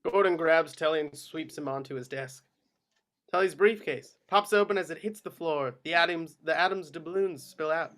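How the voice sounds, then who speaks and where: A man's voice: fairly high pitch, moderate pace, a bit bright. A man reading screenplay action descriptions as scripted narration at a table read. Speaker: reader of stage directions.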